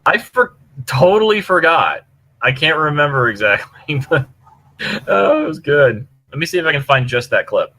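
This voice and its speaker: A man's voice: a little high, very animated, moderate pace, pushed and bright. A man chatting casually as a podcast panelist. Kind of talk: casual chat.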